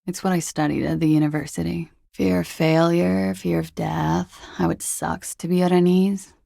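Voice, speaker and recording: An actress playing a cool, world-weary young expatriate woman in a video game, speaking with dry, languid irony in a husky, gravelly voice. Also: on the low side, little pitch movement, moderate pace, warm tone, no echo. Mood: detached, melancholic. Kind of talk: video game character dialogue.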